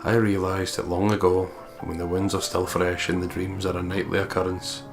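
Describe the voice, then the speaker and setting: A man's voice: low voice, little pitch movement, moderate pace. A man doing a let's play, reading a visual novel's narration aloud and chatting casually about it. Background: game music.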